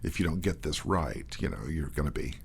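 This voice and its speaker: A man talking as a guest in a casual in-depth discussion, often restarting his phrases in a halting way. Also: extremely low pitch, sing-song, creaky, slightly rough. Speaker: guest, theatre artistic director and performer.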